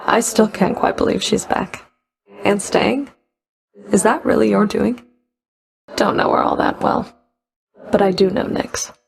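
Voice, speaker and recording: A woman's voice: mid-range voice, vocal fry. A woman playing a stern, sardonic underworld Fury in a video game, speaking in a cool, dry, clipped way. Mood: guarded, cool. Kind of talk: video game character voice acting.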